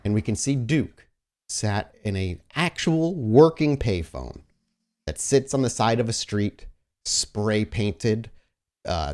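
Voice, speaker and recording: A man's voice: lowish voice, darkish tone. A man speaking casually as host and game master of a tabletop roleplaying podcast, chatting and setting scenes. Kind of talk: casual chat moving into improvised scene narration.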